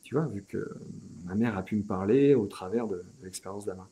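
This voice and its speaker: Mellow-voiced Frenchman, hesitant and chatty. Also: fairly low pitch, brisk. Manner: hesitant, many restarts and "tu vois". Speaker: interview guest. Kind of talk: relaxed conversational interview.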